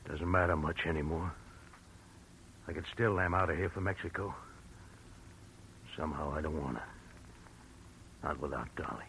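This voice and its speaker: Low-voiced, defeated young fugitive. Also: male voice, normal speed, long pauses, fairly bright tone. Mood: resigned. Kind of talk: 1940s radio drama acting. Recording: noisy old radio recording.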